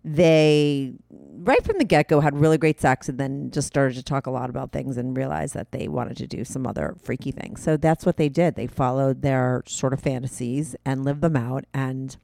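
Gravelly New Jersey woman host. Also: very deep voice, moderate pace, mellow, no room sound. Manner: chatty, swears freely, laughs at herself. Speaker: podcast host. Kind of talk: casual rambling podcast intro.

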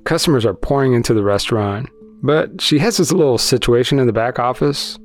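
A man's voice: mid-range voice, animated, a little fast, soft background music. A man hosting a podcast, telling a true-crime story in a conversational narration style. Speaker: podcast host.

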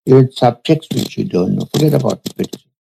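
A man, a spiritual teacher, telling a story from his past in a slow, measured, reflective way during an interview. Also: mid-range voice, animated, creaky, warm tone, slight background noise. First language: Urdu.

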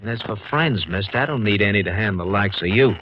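An actor in a 1950s radio western playing a tough, protective, hot-tempered rancher husband, blunt and challenging, with a Western American accent. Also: male voice, lowish voice, quick pace, a little hiss or hum, close to an everyday voice. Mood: angry, defiant. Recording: dull old radio broadcast with music.

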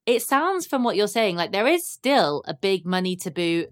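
A woman's voice: medium pitch, big pitch swings, normal speed. A woman hosting a podcast, chatting casually and saying "like" often. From United Kingdom. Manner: chatty, frequent "like".

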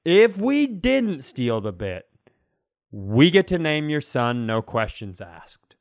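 A man's voice: fairly high pitch, very animated, takes it slowly, no room sound, clean studio sound. A man co-hosting a comedy podcast, bantering casually in a wry, mock-serious way.

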